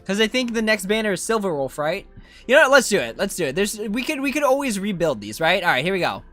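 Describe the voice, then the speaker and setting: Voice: mid-range voice, sing-song, fast talker, fairly bright tone, faint music behind. A game streamer giving casual live commentary in an informal, self-questioning way. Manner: informal, self-questioning, often ends with "right?".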